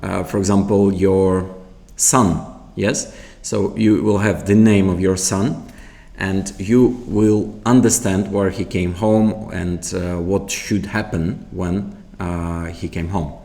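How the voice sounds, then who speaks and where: Male product demonstrator, fairly deep, slow and halting, explaining step by step without a script. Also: fairly even intonation, gravelly, mellow. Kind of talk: unscripted product tutorial.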